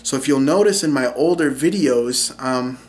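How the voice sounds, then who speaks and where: A man's voice: medium pitch, moderate pace, slight background noise. Man with a central Minnesotan accent. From Minnesota, USA. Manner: relaxed and explanatory, frequent "um" and "you know". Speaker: linguist and YouTube English teacher. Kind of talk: casual talk to camera.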